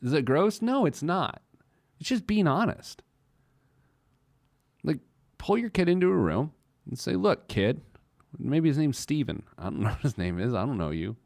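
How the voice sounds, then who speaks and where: A man's voice: a little high, sing-song, moderate pace, vocal fry, pauses often, darkish tone, dry recording, clean studio sound. A man hosting a personal finance show in a casual, playfully digressive, self-mocking way, repeating phrases for emphasis. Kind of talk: casual talk show monologue with some letter reading.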